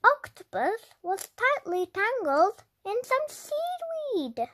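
A young-sounding reader telling a children's story aloud with deliberate, dramatic emphasis. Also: very high voice, wildly swooping pitch, bright, forceful tone. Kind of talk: expressive read-aloud narration for young children.

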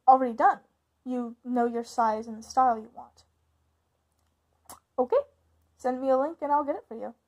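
A woman's voice: fairly high pitch, fairly even intonation, moderate pace, pauses often, darkish tone, muffled recording. A woman acting as a caring, informal big sister and young witch, hesitant and reassuring, in a conversational ASMR roleplay. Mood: supportive.

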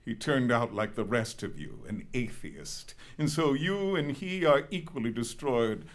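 A man's voice: mid-range voice, very animated, measured pace. An older actor playing an anguished, reverent, reproachful old man in a dramatic stage reading of Greek tragedy. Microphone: tabletop microphone.

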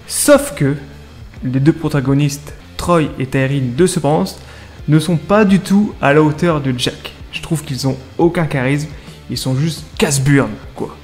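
A man delivers informal game commentary. His voice sits a little higher than usual for a man. He speaks in slangy, conversational phrases with asides to the viewer, and music plays beneath him. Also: a little slow, darkish tone. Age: 30s. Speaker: gaming video creator and reviewer. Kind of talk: casual video game review commentary. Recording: music under the voice.

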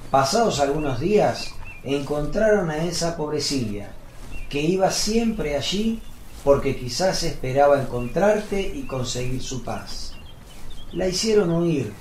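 Casting: a humble, earnest disciple giving deliberate accounts in radio drama, slightly high voice, roomy echo. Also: male voice, darkish tone. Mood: troubled, earnest. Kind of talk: devotional radio drama acting. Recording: echoey room, background noise.

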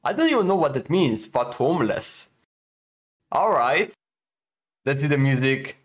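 A man's voice: mid-range voice, animated, a little slow. A man in his twenties giving casual, slangy, exclamation-filled reactions as a video host, recorded close up in a room at home. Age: 20s. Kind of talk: casual excited reaction commentary. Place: home gaming room, indoors.

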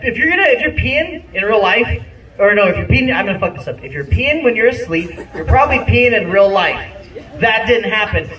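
Rambling high-voiced man at an open mic. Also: fairly bright tone. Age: 30s. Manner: rambling, self-deprecating, laughs at own jokes. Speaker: stand-up comic. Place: small bar-like comedy venue indoors. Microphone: handheld mic, phone filming from the audience. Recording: roomy venue sound from a distant phone.

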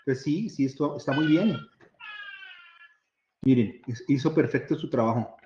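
A man's voice: mid-range voice, a little slow, background noise. A chatty man walks through his screen in a casual live demo, repeating small words and laughing easily. His voice carries a roomy echo, and the audio is a little muffled. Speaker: workshop presenter sharing his screen. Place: video call. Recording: echoey room, slightly dull audio.

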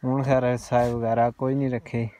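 A man's voice: medium pitch, normal speed, dry recording. A young man from Azad Kashmir with a Pahari-Pothwari accent, talking casually to camera as a vlogger while sowing green wheat fields outdoors. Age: young adult. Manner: relaxed, chatty narration while sowing a field. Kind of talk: casual village vlog commentary. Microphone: phone camera, held at arm's length for the selfie shot.

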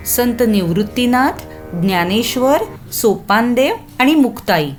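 High-voiced woman storyteller for children. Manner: expressive, addresses children with questions. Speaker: storyteller, narrator. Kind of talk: scripted narration for children.

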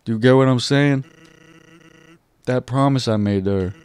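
A man's voice: mid-range voice, moderate pace, a little mellow, smooth. Laid-back man reading game lines. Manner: laid-back, jokey, reads lines aloud. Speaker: let's play host. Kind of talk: reading game dialogue aloud in voices. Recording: faint game music behind.

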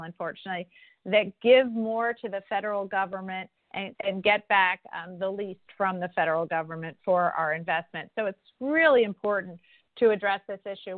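Woman, congresswoman, telephone town hall, conversational, dry tinny phone audio. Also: medium pitch. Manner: conversational, with frequent restarts and fillers. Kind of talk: answering constituent questions.

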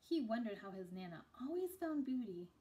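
A woman's voice: on the high side, big pitch swings, normal speed, dark tone. A woman in her thirties reading a children's story aloud indoors. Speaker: picture book reader. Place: small room indoors.

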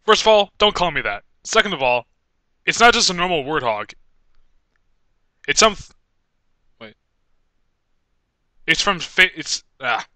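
A young man with a fairly high, very bright voice reads script takes in a dry, close recording. He stumbles, restarts and swears in exasperation, and his pitch moves around a lot. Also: very animated, measured pace, long pauses, dry recording. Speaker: amateur voice actor. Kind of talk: reading script takes for a fan animation, breaking off to swear.

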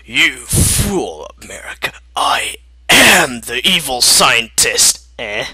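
A man's voice: medium pitch, sing-song, slow pace, sharp tone, slightly rough, no room sound, voice pushed down, full character voice. A man voicing a vengeful, forgotten brother turned evil scientist, with a habit of tag words, in a comic story. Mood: menacing. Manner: tags sentences with "eh".

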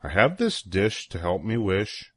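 A man's voice: on the low side, sing-song, measured pace, slightly rough, dry recording, heavily acted. An older man voicing a proud, whimsical wishing creature from a storybook, declaiming in sing-song rhyme.

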